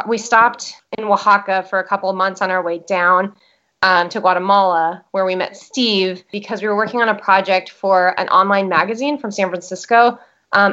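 A woman's voice: medium pitch, a bit bright. Chatty young woman, muffled sound. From United States. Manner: chatty, lots of "like" fillers. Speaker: documentary producer. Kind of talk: interview answers. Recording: dull lo-fi sound.

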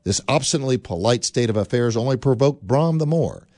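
A man narrating a radio drama in a measured storytelling manner with dry wit. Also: mid-range voice, lively intonation, darkish tone, dry recording.

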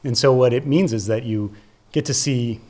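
A man's voice: medium pitch, normal speed, a little mellow. A man with an American accent giving conversational, explanatory interview answers as a theater producer, stressing points with short repeated phrases. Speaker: theater festival producer and curator, interview guest.

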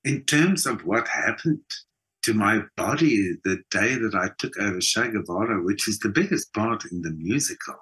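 A middle-aged South African man with a husky voice, talking reflectively in an interview, emphatic, with halting repeats and pauses. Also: lowish voice, lively intonation, fairly bright tone, poor audio. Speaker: actor and singer being interviewed.

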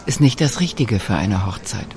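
A man reading scripted narration for a radio documentary feature in a calm, measured way. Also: on the low side, soft background music.

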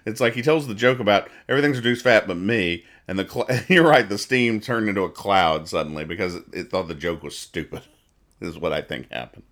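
A man hosting a casual comedy podcast, describing comic strips aloud and explaining their jokes in a rambling, halting, mock-serious way. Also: low voice, fairly bright tone. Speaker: podcast host reading newspaper comics.

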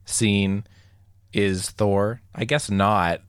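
Male movie podcast co-host, slightly low register, loose conversational delivery, jokey, prone to laughing mid-sentence. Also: steady tone, extremely slow, clean tone, dry recording, clean studio sound. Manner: jokey, laughs often while talking, acts out mock quotes. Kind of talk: casual comedic movie chat.

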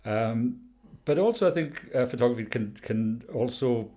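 A man's voice: medium pitch, lively intonation, moderate pace, mellow. A Welsh man interviewing a guest, speaking in a hesitant way with frequent fillers and restarts. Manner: hesitant, many uhs and restarts. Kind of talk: conversational interview.

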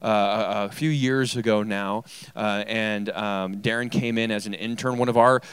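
A male pastor giving an informal church introduction, speaking earnestly and haltingly and repeating phrases. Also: lowish voice, steady tone, a bit bright, smooth, no echo.

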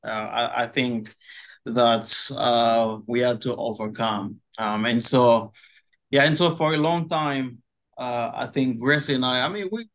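Slow-talking man from Congo. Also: mid-range voice, a bit bright. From Democratic Republic of Congo. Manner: slow, hesitant with many fillers, laughs easily. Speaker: pastor, guest. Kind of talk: casual interview chat. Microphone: video call.

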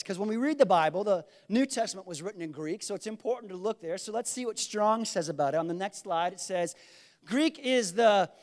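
Male pastor, high voice, emphatic and repetitive sermon delivery. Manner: emphatic, repeats key lines for stress; swings between loud bursts and quiet asides.

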